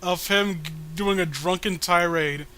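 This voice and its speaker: High-voiced, bright comedic podcast man. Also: unhurried, clear, resonant tone. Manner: sarcastic, joking. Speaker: podcast host reading a comic aloud. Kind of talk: comedic reading with casual commentary.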